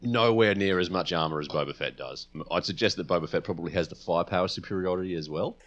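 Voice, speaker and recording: A deep-voiced man chats as a podcast co-host. He weighs each point in a measured way, mixing slightly formal phrasing into relaxed conversation. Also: lo-fi sound. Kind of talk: casual chat, debating film characters.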